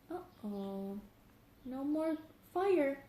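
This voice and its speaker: A woman reading a children's story aloud as a teacher, expressive, putting questions to young listeners. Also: sits high, very slow, a little hiss or hum. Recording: roomy echo on the voice.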